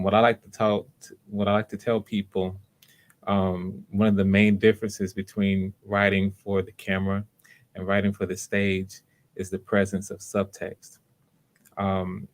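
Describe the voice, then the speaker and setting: A man hosting voice auditions on a livestream, talking casually in an informal, encouraging way and often repeating phrases. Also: deep voice. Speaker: host running voice auditions.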